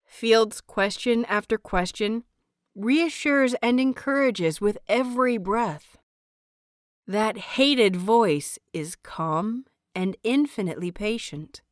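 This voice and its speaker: A woman reading a story as scripted dramatic narration, measured and deliberate, with dramatic pauses. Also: fairly high pitch, smooth, no echo, studio quality. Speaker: audio fiction narrator.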